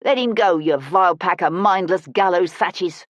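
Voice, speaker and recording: A woman's voice: on the low side, moderate pace, no echo, lightly acted. A woman voicing a blind woman in her sixties, a folksy, feisty but kindly street musician in an audiobook. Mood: fierce, scolding. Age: 60s. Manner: folksy, plain-spoken, feisty.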